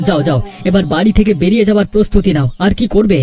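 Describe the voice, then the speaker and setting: Man acting a high-voiced, earnest younger brother. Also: very fast, mellow, faint music behind, lightly acted. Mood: troubled. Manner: earnest, pleading. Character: pleading younger brother, troubled husband. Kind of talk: Bengali cartoon voice acting.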